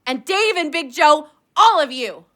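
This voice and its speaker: Casting: bitter, wounded little sister for audio drama, very high bright voice, grieving, proud, confrontational. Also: female voice, animated, unhurried, exaggerated voice. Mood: betrayed, angry. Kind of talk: emotional audio drama acting.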